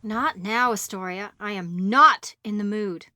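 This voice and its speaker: A woman's voice: mid-range voice, a little slow, pushed and bright. A woman playing an angry, curt witch in an audio drama. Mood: irritated. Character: angry, bickering female witch. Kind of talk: audio drama character acting.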